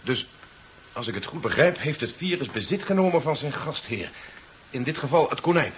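An actor playing a practical, formal official in a 1970s science fiction radio play, deliberate and dry. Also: male voice, medium pitch, sing-song, natural voice. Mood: pondering. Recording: noisy, dull 1970s radio recording.

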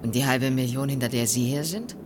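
A woman's voice: very deep voice, brisk, noisy background. An actress playing a proud, wary young businesswoman in a German radio play, cool and pointed in her delivery. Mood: proud, bitter. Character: proud, wary young businesswoman seeking independence from rich father.

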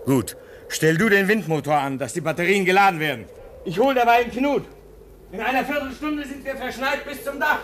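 A man's voice: sits high, brisk, fairly bright tone, a little hiss or hum. An actor playing a practical, rugged man at a polar station in a German radio play, with a dramatic, earnest delivery. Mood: brisk, urgent.

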